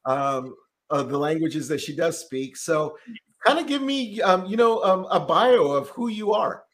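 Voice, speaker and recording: A man with a slightly higher-pitched voice hosts a relaxed podcast chat. He rambles and restarts his sentences, scattering ums through his talk. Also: unhurried, slight background noise. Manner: filler-heavy, rambling, frequent restarts. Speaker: podcast host, tax debt consultant. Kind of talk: casual podcast interview.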